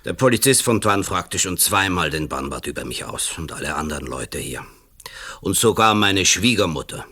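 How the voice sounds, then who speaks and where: A man's voice: deep voice, lively intonation, moderate pace, pushed and bright, husky, heavily acted. An actor playing a vain, brusque male writer in a radio play, mocking and self-important. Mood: proud, sardonic. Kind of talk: German radio play acting.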